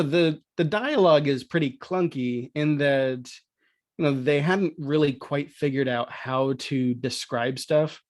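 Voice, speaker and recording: Male podcast guest, conversational and somewhat halting explainer, recorded dry. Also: mid-range voice, no room sound. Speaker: podcast guest, audio drama producer. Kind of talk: casual explanatory chat.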